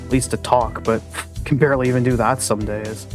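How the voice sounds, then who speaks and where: Chatty male streamer, soft voice. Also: medium pitch, steady tone, brisk, soft background music. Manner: chatty, self-deprecating, rambling. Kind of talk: casual live-stream commentary.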